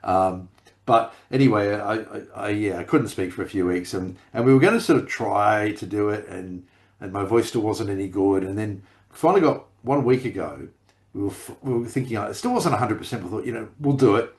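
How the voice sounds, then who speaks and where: A man chats loosely over a video call. His deep voice is croaky after weeks of laryngitis, and he rambles, stumbling over repeated words. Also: fairly quick. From Australia. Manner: rambling with many restarts. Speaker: podcast co-host. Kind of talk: casual chat.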